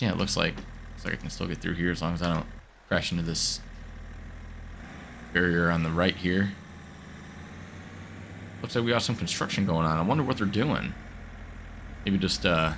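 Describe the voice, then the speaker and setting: A man's voice: low-pitched, creaky, fairly bright tone, a little hiss or hum. A man getting over a cold, chatting casually while playing a farming game, rambling with lots of fillers. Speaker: gaming video host. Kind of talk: casual gameplay commentary.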